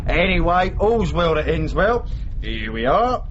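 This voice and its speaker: Swooping, blunt, chatty cabbie. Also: male voice, on the high side, big pitch swings, measured pace, dull audio, a little hiss or hum. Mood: smug. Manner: colloquial, breezy, blunt. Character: blunt, chatty taxi driver, cheerfully tactless. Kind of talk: radio comedy drama acting.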